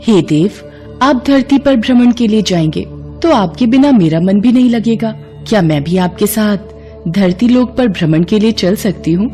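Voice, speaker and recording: A woman's voice: medium pitch, animated, normal speed, warm tone, faint music behind, lightly acted. A woman voicing a devoted, sweet and eager goddess wife in a devotional story, respectful in manner. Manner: respectful, pleading, wonder-struck. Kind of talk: devotional story dramatization in Hindi.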